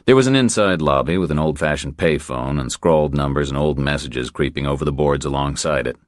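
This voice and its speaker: A man reading a crime thriller as an audiobook narrator, in measured, deliberate scripted narration. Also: rumbling low, no room sound, studio quality.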